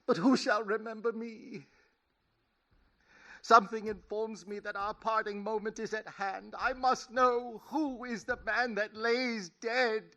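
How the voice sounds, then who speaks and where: A man's voice: sits high, steady tone, measured pace, fairly bright tone, muffled recording. An actor playing a sorrowful, remorseful man in a radio play, speaking in a solemn and mournful way. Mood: solemn dread. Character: remorseful Scrooge, sorrowful man pleading with a spectre. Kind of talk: 1930s-style radio play acting.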